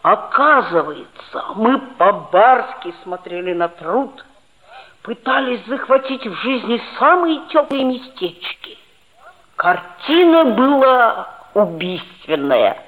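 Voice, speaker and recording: An actress playing an earnest, mischievous schoolboy who narrates a radio play in a mock-serious, expressive way. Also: female voice, a little high, slow. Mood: mock solemn. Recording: tinny vintage 1960s radio recording.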